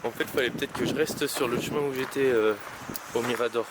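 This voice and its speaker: Young male outdoor vlogger, casual and halting, mid pitch, heavy wind noise on camera mic. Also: steady tone. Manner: rambling, many hesitations and restarts. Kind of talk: casual vlog talk while hiking. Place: outdoors on mountain trails in wind.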